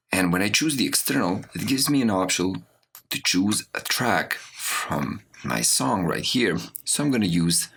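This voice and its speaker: A man giving a software tutorial as an audio course instructor, explaining things step by step. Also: low-pitched, gravelly, slight background noise. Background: mouse clicks and computer keyboard typing.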